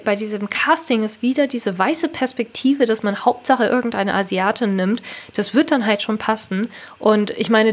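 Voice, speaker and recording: A woman talking casually but critically about a film, laying out her opinions clearly. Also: a little high.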